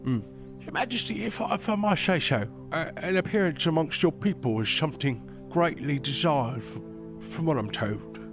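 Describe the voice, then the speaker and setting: An actor playing a formal royal advisor who hesitates, stammers and clears his throat. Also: fairly high pitch, expressive, normal speed, over music. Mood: deferential. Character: fussy older male royal advisor, formal. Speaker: royal counselor (maester). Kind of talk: audio drama acting.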